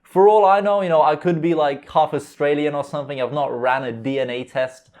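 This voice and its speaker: A man of about twenty speaks in a voice pitched a little above average for men. His accent is mostly British, mixed with hints of American and Lithuanian. He talks like a YouTuber answering questions, informal and full of slang and filler words like a chat with mates. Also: fairly even intonation, a little fast, a little mellow. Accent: mostly British with slight American and Lithuanian tinge. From Lithuania, later moved to the UK. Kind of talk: casual Q&A vlog chat.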